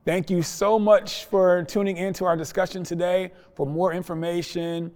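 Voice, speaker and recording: Male podcast host, dark relaxed tone, measured and appreciative interview style. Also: high-pitched. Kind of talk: conversational interview hosting.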